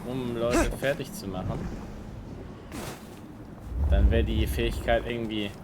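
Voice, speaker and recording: Man, medium pitch, dry live gaming commentary, thoughtful, heavy game sounds behind. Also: steady tone, a little slow. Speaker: game streamer. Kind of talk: live let's play commentary. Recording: loud game noise behind. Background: video game action sounds.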